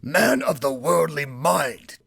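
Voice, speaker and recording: A man voicing a doomed old male ghost, speaking in a slow, drawn-out, mournful way in a storybook reading. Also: a little high, very animated, vocal fry, fairly bright tone, slightly rough, dry recording, studio quality, voice pushed up, full character voice. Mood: solemn. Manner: slow, wailing, drawn-out delivery.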